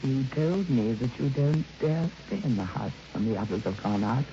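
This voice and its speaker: A kindly middle-aged woman in an old radio play. Her voice is very low and mellow, moving expressively as she earnestly counsels. The sound is muffled, with faint noise. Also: lively intonation, moderate pace. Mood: earnest, insistent. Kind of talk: old radio drama acting.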